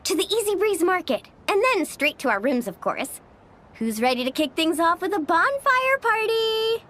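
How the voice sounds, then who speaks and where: A woman's voice: very high-pitched, big pitch swings, normal speed, a bit bright, a little hiss or hum, exaggerated voice. A woman playing a bubbly, easygoing young female tour guide in an anime-style video game, playful and upbeat. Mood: eager. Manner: exclamatory, playful.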